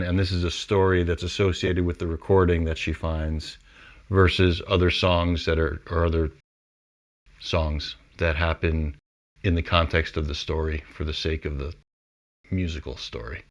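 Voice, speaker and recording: A man's voice: sits low, flat delivery, normal speed. A man who works as a composer casually explains his songwriting, thinking aloud in an informal way. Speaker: composer and musician.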